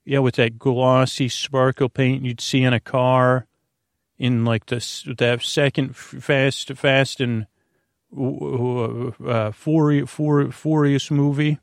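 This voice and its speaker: An American man with a creaky voice, hosting a bedtime podcast in a lulling, rambling, hesitant way, full of filler words and tangents. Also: mid-range voice, little pitch movement, slow pace, no room sound, studio quality. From United States. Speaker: podcast host.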